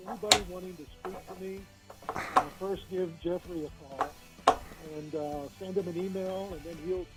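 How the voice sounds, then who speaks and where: A man's voice: high voice, steady tone, moderate pace, over loud music, poor audio. A man being interviewed as a business consultant, speaking confidently and a bit salesy, with hesitant fillers. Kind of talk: interview answers.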